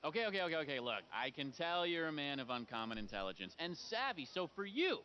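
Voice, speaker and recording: Carnival barker: slick, showy flatterer, wildly animated delivery, screen drama. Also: male voice, fairly high pitch, fast, lo-fi sound, slight background noise. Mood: coaxing, flattering. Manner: showy sales patter, announcing shots. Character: slick, fast-talking, flattering carnival game barker man. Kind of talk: naturalistic screen acting.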